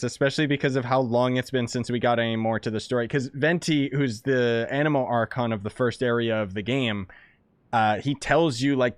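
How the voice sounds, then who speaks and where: A man's voice: mid-range voice, no room sound. A man giving casual, informal, slangy commentary as he plays through a game. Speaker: game streamer.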